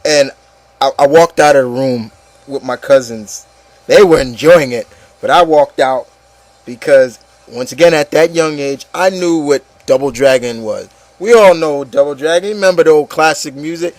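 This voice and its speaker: A man with a slightly high voice, recorded close and dry, delivers a casual, opinionated rant. He sounds exasperated and repeats his words for emphasis, with some profanity. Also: moderate pace, a little mellow, no room sound. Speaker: host of an opinion show on video games.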